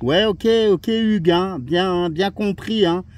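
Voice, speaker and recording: A man's voice: sits high, slow. A man who works as an amateur radio operator, chatting casually and often repeating his words and phrases. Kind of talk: casual radio contact chat.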